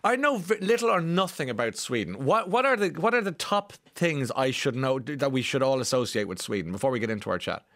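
A man's voice: mid-range voice, big pitch swings, brisk, hardly any pauses, no echo, studio quality. A man hosting a morning radio show, reading listener texts and chatting with a caller. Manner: chatty, warm banter with callers. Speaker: radio host.

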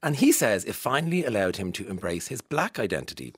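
A man's voice: lowish voice, big pitch swings, fairly quick, fairly bright tone, breathy edge. Irish guy hosting a radio chat, swoops up and down a lot, laughs easily, studio mic. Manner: chatty, laughs often, playfully self-conscious. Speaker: radio host. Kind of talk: casual radio interview.

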